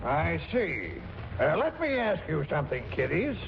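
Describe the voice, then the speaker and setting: An actor playing a pompous, busy middle-aged politician in a 1940s radio comedy, blustery and officious. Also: male voice, on the high side, wildly swooping pitch, measured pace, creaky, exaggerated voice. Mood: pompous, patronizing. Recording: muffled, noisy 1940s radio broadcast.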